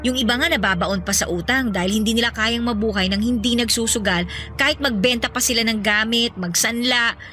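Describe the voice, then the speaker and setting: A concerned Filipino girlfriend in a radio drama. Her voice is crisp, bright and a bit high, and she talks in a practical, persuasive way over faint music. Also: a little fast. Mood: exasperated. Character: concerned, outspoken young girlfriend, practical and caring.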